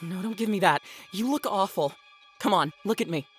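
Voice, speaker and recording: An actress playing a forceful, protective woman in an audio drama, urgent and demanding. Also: mid-range voice, moderate pace, soft background music, lightly acted. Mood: alarmed, demanding. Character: protective, forceful, sweary woman, fiercely determined.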